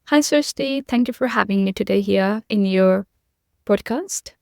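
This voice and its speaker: A woman's voice: medium pitch, unhurried, vocal fry, darkish tone, no room sound, studio quality. A young woman from Nepal, a podcast guest, giving earnest, repetitive conversational answers with frequent fillers.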